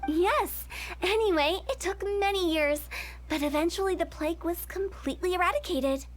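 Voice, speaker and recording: A woman's voice: very high-pitched, normal speed. A woman voicing a playful young female funeral parlor director in a video game, breezy and teasing as she explains things. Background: game music.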